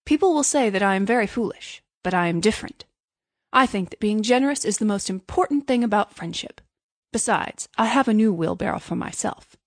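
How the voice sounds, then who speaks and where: A woman's voice: mid-range voice, expressive, a little fast, no echo, studio quality. A woman voicing a pompous, greedy male miller in a storybook, preachy and self-satisfied while posing as generous. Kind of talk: storybook character voice.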